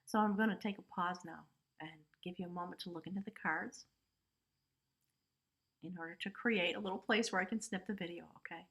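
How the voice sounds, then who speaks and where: A woman's voice: lowish voice, fairly even intonation, a little fast, fairly bright tone, breathy edge. A woman giving a casual spiritual tarot reading, talking chattily with lots of "you know" and "right?" asides. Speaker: tarot reader.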